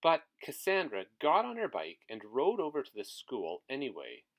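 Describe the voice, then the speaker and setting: A man's voice: mid-range voice, wildly swooping pitch, normal speed, a bit bright, rough recording. A man who works as a teacher reads a picture book aloud to young children, with asides to his listeners.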